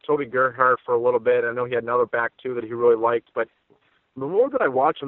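Fast-talking man on a phone-like line. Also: mid-range voice, no echo. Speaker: sports radio analyst and guest. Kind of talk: sports podcast interview commentary. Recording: dry, phone-like narrow band.

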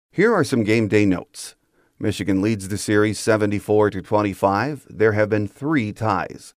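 A man's voice: on the low side, a little mellow, no room sound, clean studio sound. A man hosting a sports podcast, delivering scripted show notes and sign-offs. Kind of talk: scripted sports show narration and interview wrap-up.

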